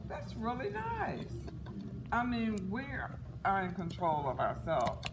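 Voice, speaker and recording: A woman with a raspy voice presenting a workshop and quizzing the audience. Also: mid-range voice, slow pace. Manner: animated, questioning the audience. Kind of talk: interactive workshop talk. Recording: echoey room, distant mic, noisy.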